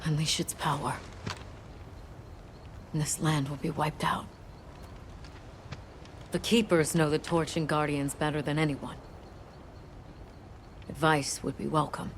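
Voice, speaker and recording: A woman playing a stern, resolute leader in a video game, speaking gravely and decisively. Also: sits low, little pitch movement, a little slow, long pauses, a little hiss or hum. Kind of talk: video game cutscene acting.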